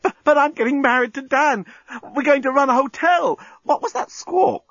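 A man's voice: extremely high pitch, big pitch swings, normal speed, a bit bright, no echo, muffled recording, voice pushed up, heavily acted. A man voicing a flustered, easily swept-away woman lawyer who cries out in a storybook reading. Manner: breathless crying out, exclaiming.

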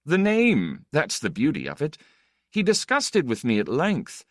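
Man plays flippant aristocrat for audiobook: ironic, urbane, self-assured, raised pitch with big swoops. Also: huge pitch swings, a little slow, no room sound, clean studio sound. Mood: amused gossip. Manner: flamboyant, urbane, teasing. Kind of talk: audiobook character dialogue.

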